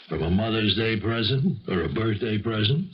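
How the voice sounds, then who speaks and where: An American actor playing a shrewd, insinuating police lieutenant in a 1970s radio mystery, folksy and wry, full of leading questions. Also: male voice, fairly low pitch, expressive, a little slow. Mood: slyly probing. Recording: muffled, hissy 1970s radio recording.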